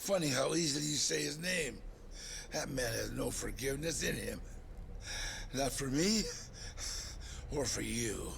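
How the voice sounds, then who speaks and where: An actor playing a defiant, sneering crime boss in a video game cutscene, taunting, profane and theatrical. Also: male voice, medium pitch, lively intonation, measured pace, creaky, pauses often, a bit bright, slight background noise, heavily acted. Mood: bitter, ominous. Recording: music behind the voice.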